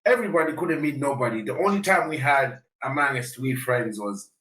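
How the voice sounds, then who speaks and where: A man co-hosting a podcast, telling a story in an animated, laughing way. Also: medium pitch, a little fast, distant mic. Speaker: podcast co-host. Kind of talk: casual storytelling. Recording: echoey room, off mic.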